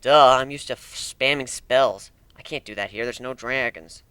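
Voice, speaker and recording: A man's voice: medium pitch, moderate pace, pushed and bright, smooth, no echo. A man giving a dramatic first-person narration of a fantasy action story.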